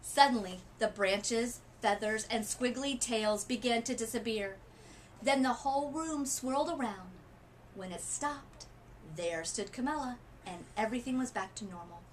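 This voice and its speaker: A woman reading a children's story aloud expressively, with deliberate, dramatic phrasing. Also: on the high side. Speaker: literacy coach reading a picture book aloud. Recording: noisy room ambience.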